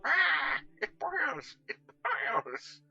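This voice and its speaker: A man voicing a muddled, excitable, imperious old wizard with archaic, halting, muttering speech in a storybook reading. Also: very high-pitched, creaky, dull audio, pitched above the performer's own voice, full character voice. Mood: excited, insistent. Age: older.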